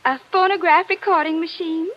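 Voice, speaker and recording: A woman's voice: very high-pitched, steady tone, moderate pace. A woman playing a catty, flirtatious rich chatterbox, gushing with affected sweetness in a 1940s radio drama. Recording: noisy, dull 1940s radio recording.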